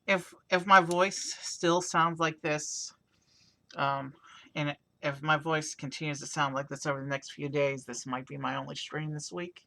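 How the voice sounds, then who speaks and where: A hoarse man with a raspy voice casually narrating a toy car build on a stream, rambling with many fillers. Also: a little high, steady tone, a little slow, pushed and bright. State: hoarse from overuse. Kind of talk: casual live-stream commentary.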